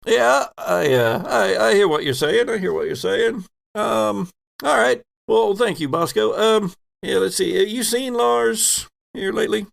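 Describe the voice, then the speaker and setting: A man's voice: high voice, gravelly, voice pushed up, heavily acted. A man playing a polite, hesitant spaceship boss who hedges his way through sentences in a tabletop game. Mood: awkward, probing. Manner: halting, hedging, mild-mannered. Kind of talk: improvised in-character dialogue in a tabletop game.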